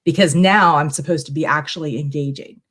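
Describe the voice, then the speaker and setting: A woman's voice: low-pitched, a little fast. A middle-aged woman chatting casually as a guest on a live stream, talking in a reflective, long-winded way. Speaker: guest tarot reader bringing a question.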